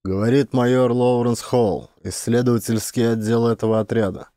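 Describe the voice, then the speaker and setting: A man's voice: medium pitch, steady tone, moderate pace, warm tone, dry recording, clean studio sound, close to an everyday voice. A man playing a sober, decisive male major in a science fiction audiobook, firm and matter-of-fact. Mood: guarded.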